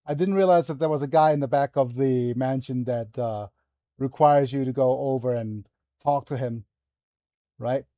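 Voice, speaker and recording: A man's voice: mid-range voice, normal speed, dark tone, no room sound. A man in his forties chatting casually while streaming a game, rambling with fillers and self-corrections. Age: 40s. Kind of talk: casual live gameplay chat.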